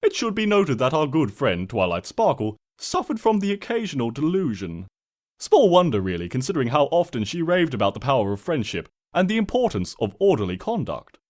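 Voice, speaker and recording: A man's voice: mid-range voice, sing-song, a little fast, lightly acted. A man voicing a smug, mischievous trickster spirit who narrates a comic storybook in a pompous, sardonic, theatrical way. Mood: mock wounded.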